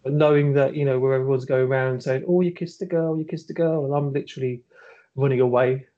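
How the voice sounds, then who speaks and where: Man from Birmingham with a Birmingham accent, softly hesitant. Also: medium pitch, fairly even intonation, fast talker, muffled recording. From Birmingham, England. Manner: hesitant, many fillers and restarts, laughs at himself. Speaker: interview guest. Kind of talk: podcast interview.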